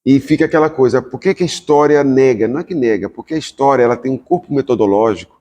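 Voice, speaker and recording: Host asking questions in a reverberant room. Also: male voice, medium pitch, fast talker, mellow, muffled recording, a little hiss or hum. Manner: probing, asks questions for the audience. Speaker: podcast host. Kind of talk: podcast conversation. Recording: long reverberant room sound.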